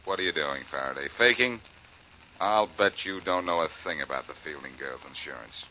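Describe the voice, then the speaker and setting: An American actor playing a glib, quick-witted, confident amateur detective in a 1940s radio drama, with needling banter. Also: male voice, a bass voice, animated, pushed and bright, full character voice. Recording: muffled old radio recording, faint noise.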